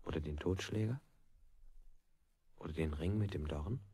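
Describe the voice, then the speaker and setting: An actor playing a bound prisoner under interrogation, reciting his account deliberately in a literary 1960s radio play style. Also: low-pitched, mellow, no echo, full character voice. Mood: measured recounting. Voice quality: husky, creaky.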